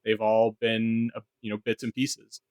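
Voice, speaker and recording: A man's voice: on the low side, monotone, a little slow, darkish tone, dry recording. A man co-hosting a podcast in casual panel chat, talking informally and swearing freely. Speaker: podcast co-host.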